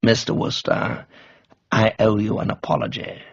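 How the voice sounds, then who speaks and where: A man doing a grave, gruff angry father. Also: fairly even intonation, muffled recording, full character voice. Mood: stern. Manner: slow, low and grave, near a whisper.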